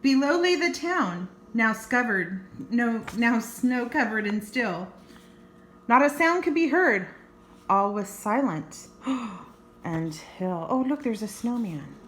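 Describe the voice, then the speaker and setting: A woman's voice: fairly high pitch, expressive, slow, fairly bright tone, slight background noise. An older woman reading a children's story aloud as a grandmother, expressively, with chatty asides and exclamations. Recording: roomy, echoing home recording.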